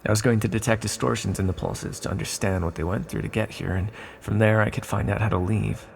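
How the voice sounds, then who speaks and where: A man playing an ancient sealed villain, a calm and tender magical being who speaks in a measured, formal, reassuring way in an ASMR roleplay. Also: lowish voice, creaky, slightly rough, full character voice. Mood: grave, puzzled. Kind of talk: ASMR roleplay, scripted monologue to the listener.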